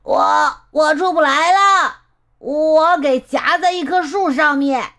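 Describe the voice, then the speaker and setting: Woman as storybook elephant trickster, high voice, exaggerated plaintive calling, mischievous. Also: slow, fairly bright tone, full character voice. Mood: pleading.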